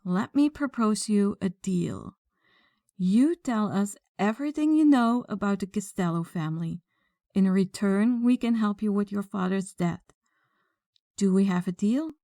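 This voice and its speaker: A woman playing a commanding, coolly threatening female mafia boss in an audio roleplay, speaking deliberately and with authority. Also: mid-range voice, animated, dark tone, no echo, clean studio sound. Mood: coolly businesslike. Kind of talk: ASMR audio roleplay acting.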